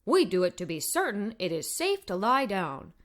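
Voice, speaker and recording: A woman voicing a friendly female pet dog in a children's storybook, calm and knowing, explaining things gently and matter-of-factly. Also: medium pitch, normal speed, pitched below the performer's own voice, close to an everyday voice.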